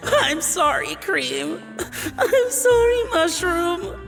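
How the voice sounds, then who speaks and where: A woman voicing a timid, overworked boy apprentice cook in a video game, meek, halting and apologetic. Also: very high-pitched, lively intonation, creaky, a bit bright, soft background music, full character voice. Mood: tearful.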